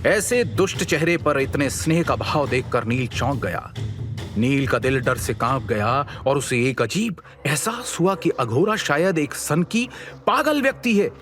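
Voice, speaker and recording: A man narrating a scripted fantasy audio drama in an expressive, suspenseful storytelling manner. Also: mid-range voice, a little slow, soft background music.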